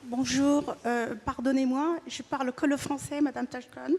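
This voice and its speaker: A woman's voice: high-pitched, little pitch movement, normal speed, a little mellow. A woman from northern Somalia asking a question at a press conference, earnest and hesitant. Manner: earnest, hesitant with many fillers. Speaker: audience questioner. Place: large conference hall. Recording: long hall reverb.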